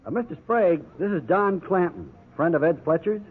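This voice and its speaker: An actor playing a mild, easygoing police officer in a 1950s radio drama. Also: male voice, high voice, animated, fairly quick, slightly rough. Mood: polite. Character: mild, fair-minded junior male police officer. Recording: tinny phone-like 1950s radio recording.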